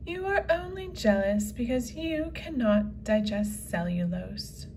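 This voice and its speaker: A woman's voice: on the high side, expressive, takes it slowly, darkish tone, a little hiss or hum. A woman voicing a vain, whimsical unicorn in a children's comic, lofty and self-satisfied. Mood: haughty.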